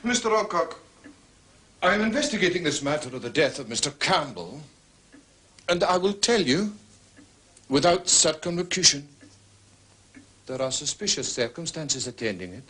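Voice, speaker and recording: An actor playing a formal, methodical police inspector in a period detective drama, with ponderous phrasing and a husky voice. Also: male voice, a little high, very animated, normal speed, long pauses, sharp tone, heavily acted. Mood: official, probing. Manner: ponderous wording, methodical questioning.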